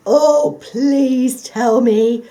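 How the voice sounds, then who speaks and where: A woman's voice: sits high, fairly even intonation, drawn-out words, dark tone, voice pushed up. A woman voicing a gullible, anxious male guard dog in a storybook, emphatic and plaintive. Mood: eager, pleading.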